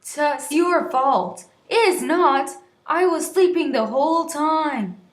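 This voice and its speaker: A woman voicing hot-tempered young campers in a story reading, with loud, drawn-out exclamations. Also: high voice. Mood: arguing. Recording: roomy, echoey voice recording.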